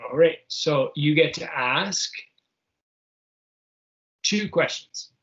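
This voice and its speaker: A man working as game master of a tabletop superhero game, improvising narration and explaining rules in a halting way, searching for his words. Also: fairly high pitch, expressive, fairly bright tone, lo-fi sound.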